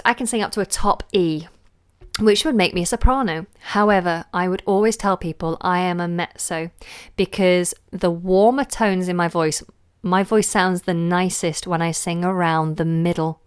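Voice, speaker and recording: A woman vocal coach giving chatty live feedback, with a low voice and a lingering cold. She talks loosely, with fillers and lost words. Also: smooth. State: recovering from a cold. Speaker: singing teacher.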